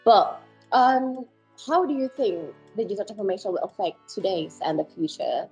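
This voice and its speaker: A woman's voice: mid-range voice, animated, normal speed, a little mellow, breathy edge, soft background music, rough recording. A woman hosting a podcast interview, asking questions casually with frequent ums. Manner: hesitant, frequent fillers. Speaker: podcast host.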